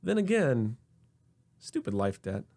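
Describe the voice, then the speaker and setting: A man narrating a scripted comedic sci-fi story in an expressive, wry manner. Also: mid-range voice, unhurried, vocal fry.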